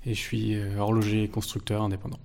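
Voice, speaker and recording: A man's voice: fairly low pitch, monotone, quick pace, vocal fry, a little mellow. A man in his twenties, a guest in a casual interview, talking with many fillers and restarts. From France. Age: 20s.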